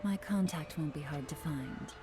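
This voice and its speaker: A woman's voice: low-pitched, moderate pace, natural voice. Actress as a poised, secretive woman traveller. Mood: cool, guarded. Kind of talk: video game voice acting. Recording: music behind the voice.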